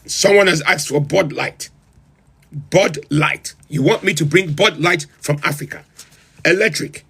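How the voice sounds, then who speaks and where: A man's voice: a little high, unhurried, vocal fry, bright, forceful tone, husky, heavily acted. A man playing a pompous self-styled president of a comic republic, who hosts a livestream and reels off long lists in theatrical disbelief. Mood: incredulous. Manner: incredulous, theatrical, lists items with rising emphasis. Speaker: livestream host. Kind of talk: comedic in-character livestream rant.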